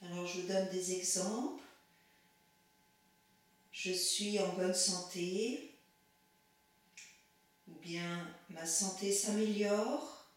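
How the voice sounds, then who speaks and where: Female yoga nidra guide, unhurried and calm, medium pitch, recorded in a strongly reverberant room. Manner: calm, measured, instructing in short pauses. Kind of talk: guided relaxation instruction. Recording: very echoey room, distant microphone.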